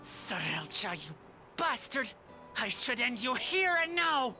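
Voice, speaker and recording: A woman's voice: a little high, sing-song, takes it slowly, bright, forceful tone, soft background music, heavily acted. An actress playing a proud, hot-tempered young lion-woman warrior in a video game, forthright and emphatic. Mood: furious. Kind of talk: scripted video game cutscene acting.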